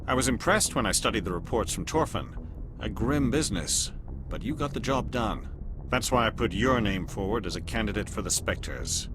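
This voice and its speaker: A shrewd, appraising alien agent voiced by a man with a low voice. He speaks formally and deliberately, with cool superiority, in a sci-fi game style over a clear ship rumble. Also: animated, lightly acted. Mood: appraising, measured. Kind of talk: video game dialogue acting.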